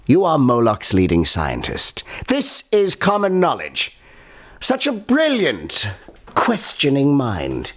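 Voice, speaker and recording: A woman's voice: very deep voice, huge pitch swings, takes it slowly, full character voice. A woman playing a pompous, zealous chief prosecutor with sarcastic, declamatory delivery in a satirical radio drama. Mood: sneering.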